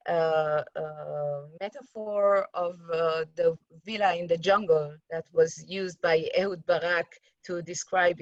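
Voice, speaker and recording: A woman's voice: on the low side, a little mellow, dry recording, highs cut off. A middle-aged woman from Iran, a journalist and activist, speaking in a reflective interview with many restarts and fillers.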